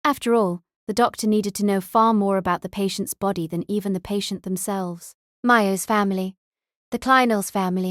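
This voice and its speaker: Female audiobook narrator, mid-pitched, reading a fantasy novel in a dry, close recording. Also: no room sound.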